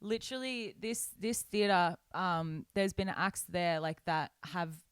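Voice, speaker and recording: A woman's voice: on the low side, slow pace, clear, resonant tone, no echo. A young woman co-hosting a podcast, chatting casually, laughing a lot and chiming in. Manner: giggly, agreeable, chimes in. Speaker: podcast co-host. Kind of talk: casual chat.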